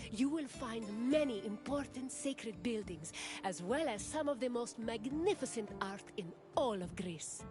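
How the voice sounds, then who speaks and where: An actress playing a stately female tour guide of ancient Athens, speaking in a grand, formal, welcoming way in scripted game dialogue. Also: fairly high pitch. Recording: loud game music behind.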